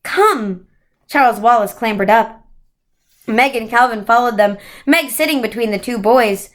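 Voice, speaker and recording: Higher-voiced woman reading a children's story aloud. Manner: stumbles over words and corrects herself. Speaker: story reader. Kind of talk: children's book read-aloud.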